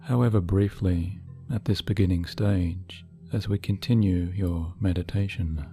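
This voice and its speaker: A man narrating a scripted hypnotic guided meditation in a soothing, measured, deliberate manner. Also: low voice, fairly even intonation. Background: soft background music.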